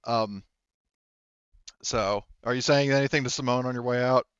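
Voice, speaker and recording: A man's voice: mid-range voice, normal speed, a bit bright, clear, resonant tone, dry recording, highs cut off. A man speaking as game master, narrating a tabletop roleplaying game casually and informally, sometimes swearing.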